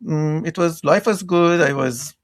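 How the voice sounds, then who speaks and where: A man's voice: on the high side, unhurried, dry recording, studio quality. A middle-aged man from Iran with an Iranian accent, telling stories conversationally as an interview guest. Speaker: podcast guest, tech entrepreneur.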